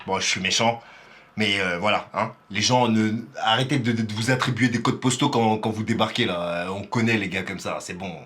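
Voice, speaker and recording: A man's voice: on the low side, a little fast, fairly bright tone, slight background noise. A man from Paris giving live reaction commentary on a rap battle, exclamatory and teasing. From Paris, France.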